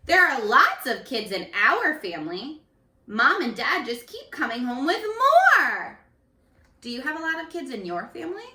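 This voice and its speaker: A woman reading a picture book aloud to young children in an expressive, instructive way, speaking to them directly as a teacher. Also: high-pitched, moderate pace. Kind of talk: read-aloud for young children with questions to the listeners. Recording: echoey room, distant microphone.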